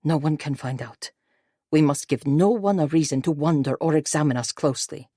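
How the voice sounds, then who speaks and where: Woman as cautious husband, low voice, measured, firm, quietly persuasive, audiobook dialogue, dry recording. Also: no room sound. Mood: guarded, firm. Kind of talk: audiobook character voice.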